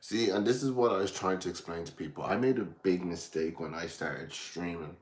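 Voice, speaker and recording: A man's voice: low voice, normal speed. An Irish man from Dublin hosting a casual livestream, rambling and distracted as he chats with viewers. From Ireland, from Dublin. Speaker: livestream host.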